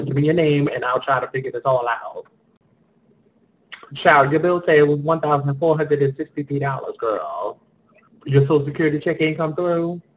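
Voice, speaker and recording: A man's voice: mid-range voice, fairly bright tone, lightly acted, poor audio. A man voicing a sassy, blunt female phone company customer service rep who scolds callers in heavy slang. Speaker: comedian. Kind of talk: sketch comedy monologue.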